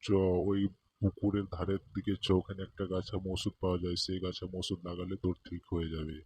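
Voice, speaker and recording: A deep-voiced village uncle from a comic cartoon, played by a man, scolding in colloquial speech. He talks fast in an even tone, with some noise behind the voice. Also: natural voice. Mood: advising. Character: scolding village uncle who gives folk remedies.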